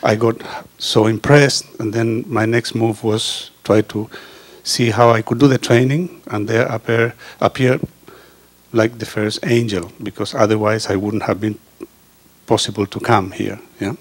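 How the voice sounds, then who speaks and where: A man's voice: lowish voice, fairly even intonation, husky. Earnest man. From Chile. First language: Spanish. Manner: earnest, halting, with pauses and restarts. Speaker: osteopath giving a testimonial. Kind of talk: reading a prepared personal speech. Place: indoor meeting room. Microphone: handheld microphone.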